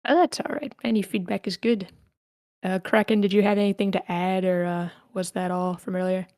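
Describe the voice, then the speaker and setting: A woman's voice: medium pitch, steady tone, brisk, darkish tone, no echo, clean studio sound. A woman casually hosting an online writers' group, calling on people and reading comments aloud. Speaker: host moderating a writers' feedback session. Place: live online meeting room.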